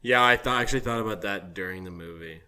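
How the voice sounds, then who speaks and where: Tired, hoarse-voiced man chatting. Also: fairly low pitch, fast, bright, forceful tone. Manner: loose, rambling storytelling with lots of laughing. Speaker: podcast co-host. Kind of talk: casual chat.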